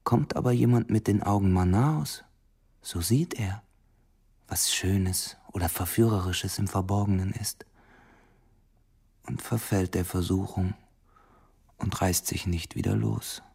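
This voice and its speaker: An actor in a literary German radio drama playing a poor, jealous suitor, intense and insistent, with a menacing, possessive love. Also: male voice, low voice, unhurried, gravelly, long pauses, a little mellow, husky, exaggerated voice. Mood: brooding, secretive.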